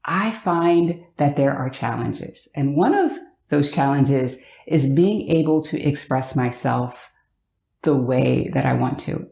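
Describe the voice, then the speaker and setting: A woman's voice: low voice, gravelly. Upbeat American woman vlogger, echoey room. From Virginia, USA. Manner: upbeat, expressive, slows to stress words. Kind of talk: talking to camera.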